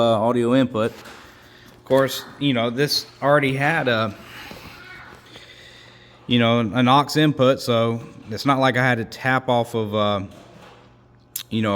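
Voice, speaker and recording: A man giving a casual, halting hands-on demonstration as host of a repair channel, rambling as he explains. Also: mid-range voice, fairly even intonation, slight background noise. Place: indoors at home.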